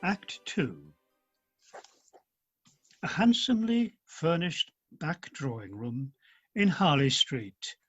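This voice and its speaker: Muffled lo-fi woman reading stage directions, unhurried and husky, lots of lilt. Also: low voice, big pitch swings, faint music behind. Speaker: narrator. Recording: dull lo-fi video-call recording.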